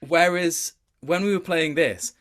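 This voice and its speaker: A man in his late twenties speaks as a guest in a relaxed interview. His delivery is conversational and rambling. He often doubles back to rephrase as he tells a story. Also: fairly high pitch, unhurried. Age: late 20s. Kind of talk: casual gaming interview chat.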